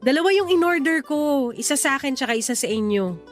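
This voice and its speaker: A young Filipino woman living next door in a radio drama. Her voice sits high, and she is considerate but stands her ground. Soft music runs underneath. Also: expressive, fast talker. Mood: friendly, teasing. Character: Celia, considerate but insistent female neighbour with complaints.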